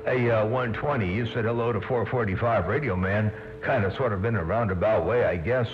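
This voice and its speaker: A man's voice: on the low side, brisk, slight background noise. A man chatting casually in folksy, repetitive slang as an operator over CB radio. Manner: folksy, repeats himself. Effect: CB radio transmission. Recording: muffled CB radio with loud humming interference.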